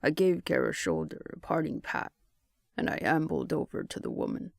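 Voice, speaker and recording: A man's voice: on the high side, big pitch swings, normal speed, creaky, no room sound, studio quality. A man reading scripted first-person fiction as an audiobook narrator.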